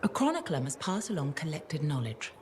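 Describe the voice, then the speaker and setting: An actress playing a scatterbrained, affectionately bossy chronicler in a video game, with genteel, wordy, theatrical phrasing. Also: female voice, low-pitched, very animated, fairly quick, darkish tone, a little hiss or hum. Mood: earnest, lofty. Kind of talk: video game character dialogue.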